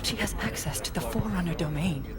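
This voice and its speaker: A woman's voice: lowish voice, fast, over music, close to an everyday voice. A woman playing a cold, authoritative scientist in a game cutscene, precise and severe. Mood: stern, insistent. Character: stern, authoritative female military scientist, cold and insistent.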